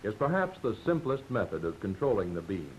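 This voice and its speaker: An American man narrating a 1940s instructional film from a script, explaining in a measured, didactic way. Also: very animated. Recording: dull, noisy 1940s film soundtrack with rumble.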